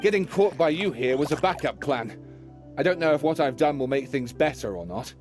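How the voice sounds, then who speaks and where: A man's voice: fairly high pitch, expressive, a little fast, over music, close to an everyday voice. A man voicing a sly, playful narrator character in a video game, with a theatrical, self-deprecating way of talking. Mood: wry confession.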